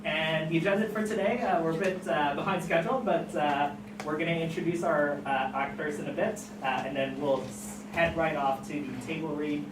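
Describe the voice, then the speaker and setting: Halting event host in an echoey, noisy room. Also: mid-range voice, fairly even intonation. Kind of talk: informal event announcements. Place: indoor event room, recorded from afar. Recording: echoey distant room recording, loud noise.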